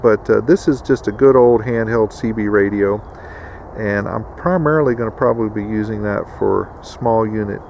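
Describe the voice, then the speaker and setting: A man hosting a casual instructional vlog with a gravelly voice, addressing viewers in a folksy way. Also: on the low side, a little mellow, a little hiss or hum. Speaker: video host.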